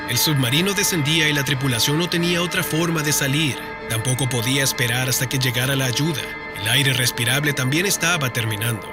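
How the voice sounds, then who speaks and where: A male narrator reads a scripted true-story piece in documentary style. His voice sits a little higher than most men's. Music plays clearly behind him throughout. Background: background music.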